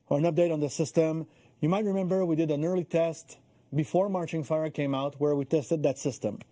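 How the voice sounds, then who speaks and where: Very dark-voiced man giving an update. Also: fairly high pitch, no room sound. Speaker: game developer spokesperson. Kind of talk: live stream news update, semi-prepared.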